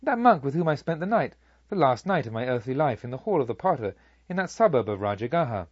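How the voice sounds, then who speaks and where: A man's voice: medium pitch, big pitch swings, quick pace, husky, muffled recording. A man voicing an earnest, reflective male pilgrim who speaks formally in an audiobook. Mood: rueful wonder. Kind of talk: audiobook character speech.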